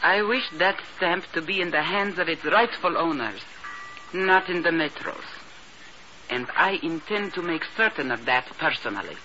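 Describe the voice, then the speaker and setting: An actress playing a proud, coolly threatening woman informant, speaking formally and deliberately in a radio drama. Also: lowish voice, animated, bright, forceful tone. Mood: coldly determined. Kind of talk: 1950s radio drama acting. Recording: noisy, dull 1950s radio recording.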